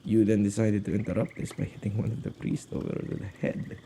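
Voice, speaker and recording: A man plays an anxious male witch and guardian of spirits in an ASMR audio drama. His voice is very dark and mellow with a gravelly crackle, and his delivery is intimate, expressive and halting. There is faint noise behind him. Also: fairly low pitch. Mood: calm recounting.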